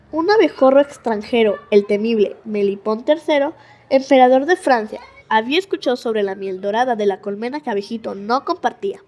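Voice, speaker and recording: A child narrator reading a scripted story for a school audio drama. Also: medium pitch.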